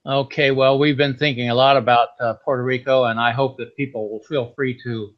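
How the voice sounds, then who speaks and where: A man hosting a podcast, talking in a relaxed, folksy, rambling way. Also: medium pitch, a little fast. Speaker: podcast host. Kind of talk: casual interview chat. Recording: slightly dull lo-fi sound.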